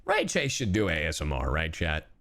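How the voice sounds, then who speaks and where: A man hosts a casual livestream reaction. His pitch moves in lively swings as he jokes with his chat. He swears freely and keeps the commentary loose and irreverent. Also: moderate pace, vocal fry, a little hiss or hum. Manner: irreverent, joking, heavy swearing. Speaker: streamer, reaction host.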